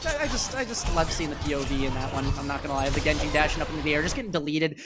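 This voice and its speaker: An esports commentator calling live play-by-play in a chatty way that gets animated with the action. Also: medium pitch, very fast, loud music behind the voice.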